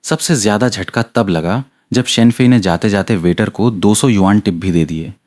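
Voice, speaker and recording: A man's voice: fairly low pitch, normal speed, a little mellow. A man reading a scripted story aloud as an audiobook narrator.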